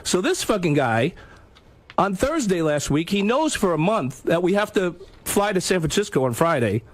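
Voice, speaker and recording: A high-voiced man in the middle of a heated radio argument. He is defensive and stumbles over his words, repeating phrases and swearing in casual speech. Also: very animated, normal speed. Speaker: radio show staff member arguing on air.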